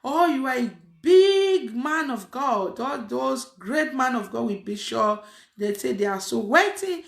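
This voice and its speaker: A woman's voice: high voice, animated, measured pace, vocal fry. A woman preaching casually to an online audience, emphatic and repeating her phrases, with frequent fillers. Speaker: online prayer host. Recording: echoey room.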